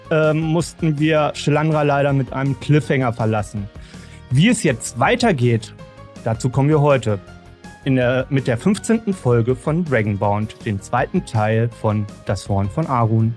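A man's voice: medium pitch, animated, measured pace, darkish tone. A man hosting a podcast, chatting casually and presenting segments. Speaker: podcast host. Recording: music bed behind voice.

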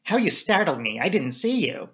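A man reads as a lively young Englishwoman in an audiobook story, lifting the pitch a little. The delivery is light and playful, with an earnest edge. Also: normal speed. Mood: teasing.

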